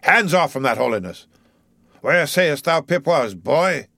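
A man's voice: a little high, animated, a little slow, gravelly, a bit bright, dry recording, clean studio sound, voice pushed up, full character voice. A man voicing a mad, brooding old sea captain in an audiobook, declaiming grandly in archaic speech. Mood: stern.

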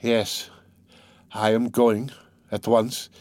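A man's voice: medium pitch, flat delivery, very slow, a little mellow, dry recording. Man acting tormented, proud young man. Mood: grim resolve.

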